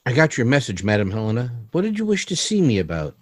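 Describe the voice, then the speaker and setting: A man's voice: medium pitch, huge pitch swings, quick pace, no room sound, clean studio sound. A man playing an uneasy scientist in a radio drama, formal and hesitant, by turns deferential and commanding. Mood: concerned, polite.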